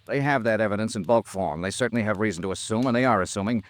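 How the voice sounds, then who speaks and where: An actor playing an earnest, insistent American investigator in an old-time radio drama, speaking in urgent, clipped phrases. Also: male voice, lowish voice, rapid-fire, no echo, natural voice. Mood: firm, urgent. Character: insistent, earnest male insurance investigator, gentle but hard-boiled.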